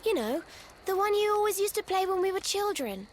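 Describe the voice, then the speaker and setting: A woman's voice: very high voice, big pitch swings, brisk, slight background noise. An actress voicing a blunt, confident little girl mage in a video game. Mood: thoughtful. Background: faint game music.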